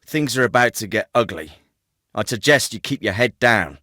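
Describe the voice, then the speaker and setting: An actor playing a composed, clipped military officer in a video game, dry and commanding. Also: male voice, fairly low pitch, animated, normal speed, pushed and bright, dry recording. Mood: cold. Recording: dry studio recording.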